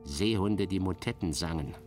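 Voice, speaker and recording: Low-voiced man narrating theatrically. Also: normal speed, faint music behind. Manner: theatrical, sardonic, expressive shifts of emphasis. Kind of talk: dramatic first-person literary narration, radio play.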